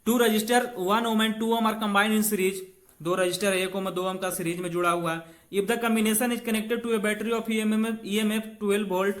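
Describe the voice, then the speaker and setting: A man's voice: high-pitched, fairly even intonation, measured pace, fairly bright tone. A male teacher. He reads out exam questions and explains them step by step in an informal way. He speaks to students like friends, repeats key phrases and slips in English technical words. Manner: mixes English technical terms into Hindi. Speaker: physics teacher.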